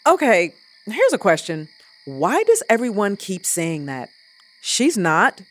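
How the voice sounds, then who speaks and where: A woman's voice: medium pitch, sing-song, takes it slowly. An actress playing an exasperated, wounded woman in an audio drama, blunt and defensive. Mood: exasperated, hurt.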